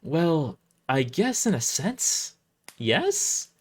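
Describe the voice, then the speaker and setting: A man's voice: on the high side, big pitch swings, drawn-out words, breathy edge, pitched above the performer's own voice, heavily acted. A man voicing a timid, polite teenage boy acolyte with a hesitant, stammering manner in an audio story. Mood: hesitant, flustered.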